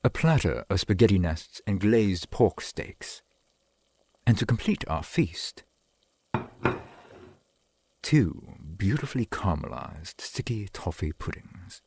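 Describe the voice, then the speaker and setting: A man playing a courtly, doting centuries-old vampire boyfriend in an ASMR roleplay, speaking in formal, archaic, gently attentive phrases. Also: sits low, lively intonation, slow pace, pauses often, a little hiss or hum, exaggerated voice. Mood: proud, hospitable.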